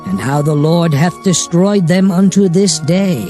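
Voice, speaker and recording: Man acting a solemn, slow, high-voiced Moses. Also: mellow, lightly acted. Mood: solemn, commanding. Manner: solemn, declamatory, measured phrasing. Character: Moses, solemn male prophet exhorting his people. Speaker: scripture narrator. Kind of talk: dramatized Bible reading in archaic English. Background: music under the voice.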